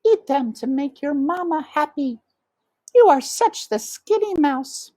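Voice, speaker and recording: Dramatic mama mouse, naggy, pleading, really high voice, kids story. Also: female voice, animated, a little slow, warm tone, dry recording. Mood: nagging. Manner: pleading, guilt-tripping.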